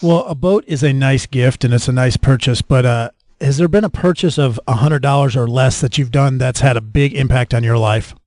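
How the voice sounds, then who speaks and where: A man's voice: medium pitch, normal speed, few pauses, a little mellow, dry recording. Casual American male interviewer, dry sound. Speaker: interviewer, podcast host.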